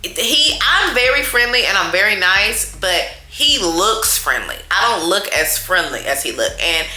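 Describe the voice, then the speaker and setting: A woman telling a storytime to her viewers in a loud, chatty, animated way, talking straight to them as y'all. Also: medium pitch, a little slow, reverberant. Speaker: storytime vlogger.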